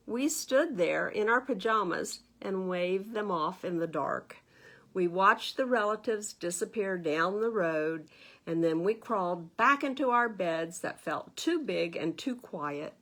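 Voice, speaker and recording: Woman reading aloud at children's storytime. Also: fairly low pitch. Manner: expressive, asks gentle questions of young listeners. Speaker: librarian storytime reader. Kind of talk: children's picture book read-aloud with asides to listeners.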